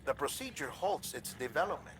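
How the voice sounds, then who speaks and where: A man's voice: a little high, sing-song, fairly quick, fairly bright tone. A man playing a middle-aged medical expert in a video game, explaining things in a matter-of-fact, lecturing way. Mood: explanatory. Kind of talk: cinematic game voice acting. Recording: faint background noise.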